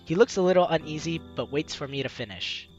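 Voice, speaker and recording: A man's voice: fairly high pitch, animated, fast, darkish tone, highs cut off, soft background music. A man hosting a game playthrough, reading narration and dialogue aloud expressively, with casual asides. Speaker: host of a video game playthrough.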